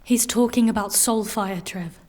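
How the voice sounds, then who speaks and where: An actress playing a defiant, haunted fantasy warrior in an audio drama, terse, intense and hushed. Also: female voice, fairly high pitch, a little slow, lightly acted. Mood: grim, bitter.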